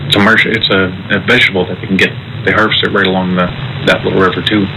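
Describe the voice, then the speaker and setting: A man's voice: deep voice, flat delivery, quick pace. A man from eastern Canada explaining local things in an informal, conversational way. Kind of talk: casual explanatory chat. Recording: noisy ambient location sound.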